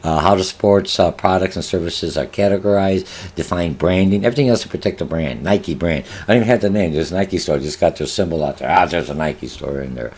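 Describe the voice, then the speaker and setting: Older male instructor, Polish native, deep low voice, rambling lecture with many fillers, webcam in a home office. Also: brisk, a little mellow, slightly rough. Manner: rambling, many filler sounds and restarts, rhetorical questions. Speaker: college marketing instructor. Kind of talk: online lecture over slides.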